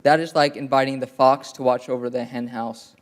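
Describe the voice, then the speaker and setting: Man giving a forceful rhetorical speech. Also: mid-range voice, steady tone, fairly quick. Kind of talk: press conference speech.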